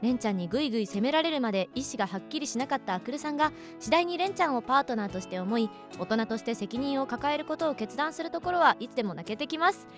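A woman hosting a radio show in casual, playful chat and listener mail reading, giggly and quick to chime in agreement. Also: high-pitched, animated. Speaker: radio show host and voice actress. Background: background music.

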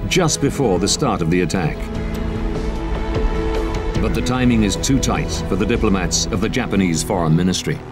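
A man narrating a documentary from a script in a dramatic, measured manner. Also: sits low, lively intonation. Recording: loud music under the voice. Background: dramatic score.

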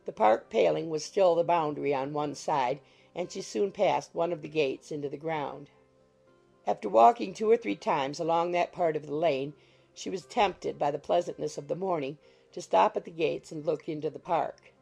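Very creaky, husky female audiobook narrator. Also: sits low, normal speed, darkish tone. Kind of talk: scripted literary narration of a classic novel. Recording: music behind the voice. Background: music.

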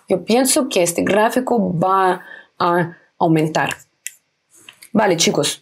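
A woman's voice: medium pitch, a little mellow, slightly rough. A young woman explaining trading steps in a casual screen-recorded tutorial, speaking simple as a with repeats and small self-corrections. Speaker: trading video host. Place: indoors at home, webcam in corner.

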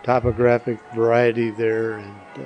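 A man's voice: medium pitch, flat delivery, moderate pace, muffled recording, soft background music. A man being interviewed on a podcast, giving casual, thoughtful and understated answers with some hesitation.

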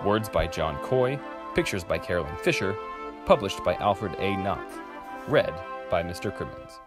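A man reading a children's picture book aloud in an animated, expressive storytelling manner. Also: low-pitched, normal speed, music in the background. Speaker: storybook reader.

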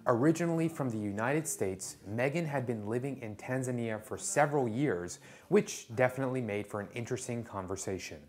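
A man's voice: medium pitch, expressive, fairly quick, hardly any pauses, darkish tone. A man hosting a video, narrating and interviewing with an upbeat, eager manner.

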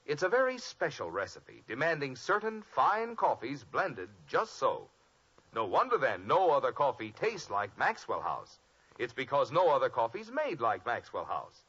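A man's voice: mid-range voice, huge pitch swings, moderate pace, sharp tone, husky. An American man announcing a 1950s radio commercial in an emphatic, persuasive style. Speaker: announcer reading sponsor advertisement. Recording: dull vintage radio recording.

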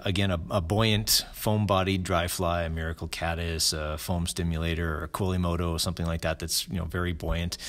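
Middle-aged man, very low voice, casual gear explainer, lots of ums and uhs. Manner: relaxed, many fillers and restarts. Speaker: fly fishing channel host.

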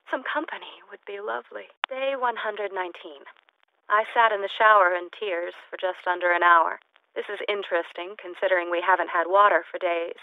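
A woman's voice: medium pitch, no room sound, phone-quality sound. An actress playing an eccentric, unstable woman scientist in a video game, recording lonely logs in formal, wordy, clinically detached speech through a recorder-like radio filter. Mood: anxious, formal, then detached, weary. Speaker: video game character actor. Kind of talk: in-character audio log monologue. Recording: thin, band-limited recorder sound.

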